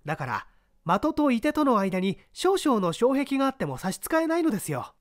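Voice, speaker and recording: A man's voice: very high-pitched, big pitch swings, a little fast, dry recording, studio quality, voice pushed up. A man voicing an earnest unemployed young man who argues his case with eager intensity in a mystery reading. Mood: confident.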